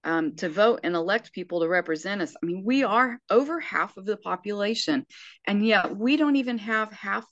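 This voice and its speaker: A woman's voice: mid-range voice, animated, normal speed, a bit bright, dry recording. Earnest sixty-year-old woman, dull dry sound. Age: 60s. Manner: earnest, emphatic, with frequent restarts. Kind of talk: casual conversation.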